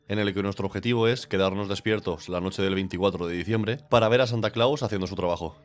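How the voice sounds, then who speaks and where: A man with a stuffy, congested voice from a cold, reading a scripted game review with dry, sarcastic humor. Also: sits low. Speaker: game reviewer, channel host.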